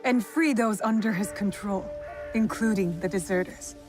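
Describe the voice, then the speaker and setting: A woman acts a stern young fighter in a video game. Her mid-pitched voice is firm and to the point. Music plays faintly behind her. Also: normal speed, close to an everyday voice. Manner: firm, direct. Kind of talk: video game cutscene acting.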